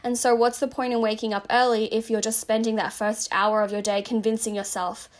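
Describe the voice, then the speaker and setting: A woman's voice: fairly high pitch, level tone, fairly quick. A woman hosting a casual self-help podcast, chatty and rambling with lots of fillers, saying she is slightly losing her voice. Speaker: podcast host.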